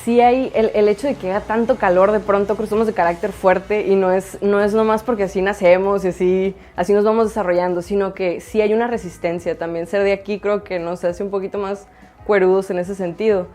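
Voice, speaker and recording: A woman in her twenties with a Sonoran Mexican accent, an office worker, giving a thoughtful, measured interview answer. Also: medium pitch, steady tone, a little mellow. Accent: Sonoran Mexican Spanish. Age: 20s.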